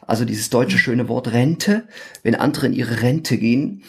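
Gravelly man with a Thuringian accent. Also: medium pitch, unhurried, a little mellow. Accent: Thuringian German. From eastern Germany. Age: 40s. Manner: hesitant, many ums and restarts. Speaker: podcast guest, actor. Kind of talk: casual interview chat.

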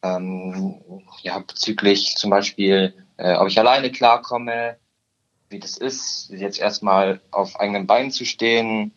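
A man's voice: lowish voice, fairly even intonation, measured pace, fairly bright tone. Hesitant nineteen-year-old guy, lo-fi. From Upper Bavaria, Germany. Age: teens. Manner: hesitant, many "ähm" fillers. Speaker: guest, insurance trainee. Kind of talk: podcast interview.

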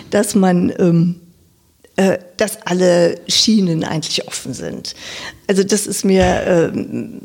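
A middle-aged woman with a northern German accent, her voice gravelly with a husky edge. She talks reflectively in an interview, stopping often for fillers as she searches for her words. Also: medium pitch, a little mellow. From Lower Saxony, northern Germany. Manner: hesitant and reflective, many fillers. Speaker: interviewee, local council member. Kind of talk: casual interview talk.

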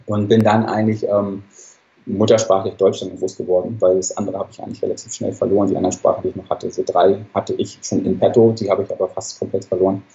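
A man's voice: low-pitched, flat delivery, quick pace, gravelly, warm tone, highs cut off. A man in his forties who grew up in Hamburg, telling his story as a podcast guest in a rambling way with many fillers and self-corrections. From Ghana, raised in Hamburg, Germany. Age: 40s. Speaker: guest, surgeon. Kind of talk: casual storytelling interview.

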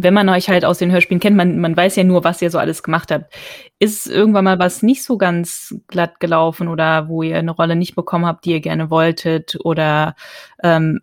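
A woman's voice: on the low side, a little mellow, no room sound, studio quality. A woman hosting a podcast, asking interview questions in a friendly, chatty way. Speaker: podcast host.

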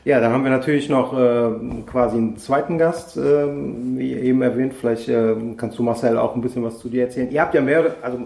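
This man hosts the podcast in a casual, hesitant way, leaning on fillers as he steers the talk. His voice sits at a middle pitch. The room adds a clear echo. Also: fairly even intonation, brisk, hardly any pauses, a little mellow. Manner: hesitant, filler-heavy moderating. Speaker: podcast host. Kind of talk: casual chat. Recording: roomy echo.

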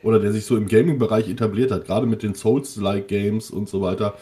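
A man's voice: on the low side, fairly even intonation, fast talker, dark tone. A man, co-hosting a casual podcast chat, joking around and explaining words at length. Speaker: podcast co-host.

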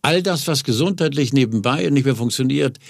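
A man in his seventies with a gravelly voice, talking bluntly and exuberantly about getting old in a casual chat. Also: medium pitch, lively intonation, a little fast, no echo, studio quality. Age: 70s. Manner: exuberant, blunt, laughs at aging. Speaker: guest.

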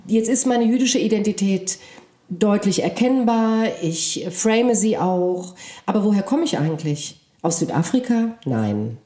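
A woman's voice: mid-range voice, animated, a little slow, mellow, reverberant. A woman, a native Polish speaker who grew up in Germany, giving a thoughtful, searching interview answer with frequent hesitations. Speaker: interview guest. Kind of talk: reflective conversation.

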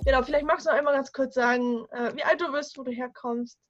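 Higher-voiced woman hosting a talk show. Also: steady tone. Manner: friendly, encouraging, quick affirmations. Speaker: talk show host, interviewer. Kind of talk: casual interview talk. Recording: dull lo-fi sound with faint music.

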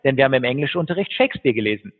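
A man speaking as a guest in an interview, telling stories quickly with fillers. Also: medium pitch, breathy edge. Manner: fast, animated storytelling with fillers. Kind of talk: conversational interview. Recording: tinny phone-like line with long reverb.

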